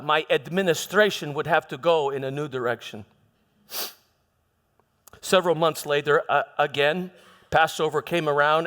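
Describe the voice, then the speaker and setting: Guy doing a troubled roman governor monologue, pretty high male voice, halting w/ repeated words, big echoey church hall. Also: a bit bright, lightly acted. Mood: measured. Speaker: church dramatist performing a monologue. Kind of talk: first-person dramatic monologue in church. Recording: echoey hall with long reverb.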